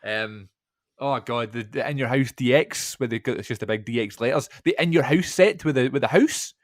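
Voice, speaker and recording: Excited man rambling. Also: medium pitch, moderate pace, dry recording, clean studio sound. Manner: enthusiastic, rambling with fillers. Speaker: podcast co-host. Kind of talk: casual chat.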